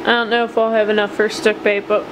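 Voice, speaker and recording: A woman chatting casually and upbeat while showing how she makes soft plastic fishing baits at her home workbench. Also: mid-range voice, flat delivery, quick pace. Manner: chatty, upbeat, filler-heavy. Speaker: bait maker showing her process. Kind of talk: casual hands-on tutorial. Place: home workshop bench. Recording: noticeable air-conditioning hum.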